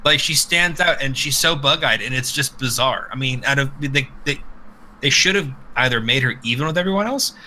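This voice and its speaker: A man hosting a casual live podcast, rambling and swearing freely as he gives animated opinions. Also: mid-range voice, a little fast, a little hiss or hum, poor audio. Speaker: podcast host. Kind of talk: casual opinionated live chat about games.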